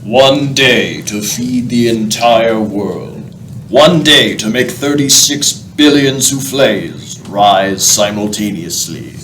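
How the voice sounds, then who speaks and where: A man's voice: medium pitch, slow pace, fairly bright tone. A man giving scripted, dramatic narration as a narrator in a radio play. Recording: roomy, off-mic, faint noise.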